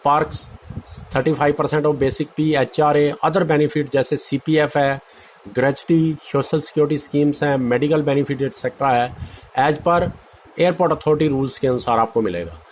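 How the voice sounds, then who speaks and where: Indian male exam-prep presenter, fairly high-pitched, informal and instructive, mixes in English terms, faintly noisy amateur recording. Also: moderate pace. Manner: instructive, repeating key points. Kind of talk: informal explainer of a job notice, mixing in English terms.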